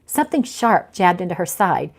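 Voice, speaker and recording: A woman author reading her novel aloud in an animated storytelling style. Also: fairly low pitch, normal speed, gravelly. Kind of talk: animated audiobook-style narration.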